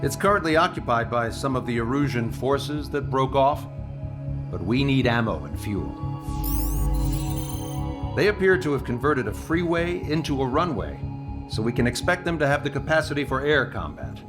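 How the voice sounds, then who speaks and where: An actor playing a level-headed military squadron leader, matter-of-fact and commanding, in a game cutscene. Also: male voice, mid-range voice, lively intonation, moderate pace, over music, close to an everyday voice. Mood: businesslike. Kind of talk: game cutscene briefing dialogue.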